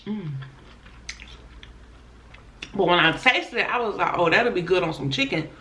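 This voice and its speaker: A hoarse woman hosting an eating show, chatting casually and talking to viewers as y'all between bites. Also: on the low side, expressive, unhurried, pushed and bright. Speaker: mukbang host. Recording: echoey room.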